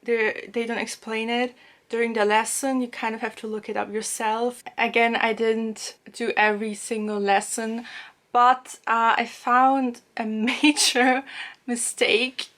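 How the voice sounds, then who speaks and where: A woman's voice: a little high, level tone, unhurried, fairly bright tone. A young woman from German-speaking Switzerland, a native Swiss German speaker, chatting casually to camera about language apps with frequent ums and little laughs, in a small room. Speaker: language vlogger. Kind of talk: casual app review to camera. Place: small room indoors, plain blue wall.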